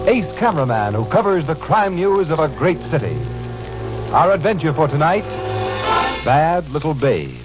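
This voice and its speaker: A man's voice: a little high, big pitch swings, normal speed. A male announcer giving formal, declamatory 1940s radio narration. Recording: dull old radio recording over loud music.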